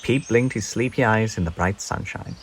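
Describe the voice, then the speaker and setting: A man reading a children's story aloud in an expressive, scripted narration style. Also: on the low side, normal speed, slight background noise. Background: birdsong and insect sounds.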